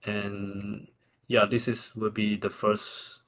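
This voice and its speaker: A man with a Taiwanese accent answering questions as a researcher at a conference, in halting, informal phrasing with repeated words. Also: fairly low pitch, little pitch movement, fairly bright tone. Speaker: researcher presenting.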